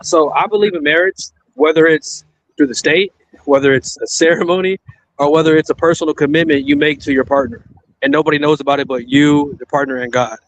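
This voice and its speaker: A man's voice: fairly high pitch, steady tone, brisk, a little hiss or hum, rough recording. A married man on a discussion panel, talking in a loose, rambling way and often adding "you know what I mean.".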